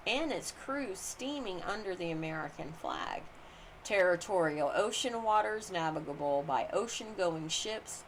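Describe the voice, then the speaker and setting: A woman reading a formal text aloud as scripted narration, phrase by phrase with deliberate emphasis. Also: fairly low pitch, a bit bright. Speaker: reader of a book on constitutional law. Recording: clearly noisy amateur recording.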